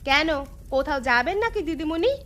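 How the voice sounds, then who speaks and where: A woman as a high-voiced, brisk village mother. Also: lively intonation, bright, forceful tone. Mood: scolding. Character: village housewife and mother, brisk, practical, nagging. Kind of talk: cartoon dialogue acting.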